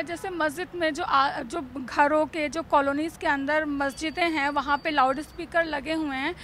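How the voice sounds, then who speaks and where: Very high-pitched woman news reporter, bright voice. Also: slight background noise. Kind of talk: news field reporting and interview.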